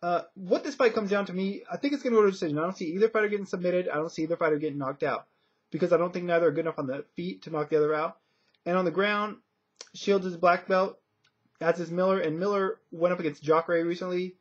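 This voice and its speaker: A man with a slightly high, rough-edged husky voice gives casual sports commentary. He speaks off the cuff in a halting, conversational way. The audio is dull and lo-fi, like a webcam recording. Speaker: fight commentator and video blogger. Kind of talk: casual unscripted fight prediction vlog.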